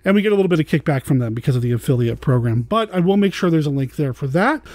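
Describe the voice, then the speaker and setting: A man's voice: on the high side, animated, racing, a little mellow, no echo, studio quality. A man hosting a podcast, talking in a casual, chatty and conversational way. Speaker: podcast host.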